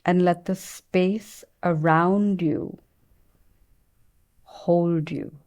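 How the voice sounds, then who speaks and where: Slow, very mellow woman guiding meditation. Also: on the low side, fairly even intonation. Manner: soothing, gentle, unhurried with long pauses. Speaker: meditation guide. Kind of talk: guided meditation.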